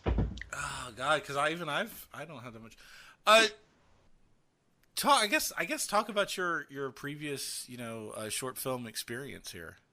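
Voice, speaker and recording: A man's voice: medium pitch, sing-song, unhurried, sharp tone. A man from South Carolina hosting a podcast interview in casual chat, laughing often and hesitating with "uh" and "um.". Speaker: podcast host.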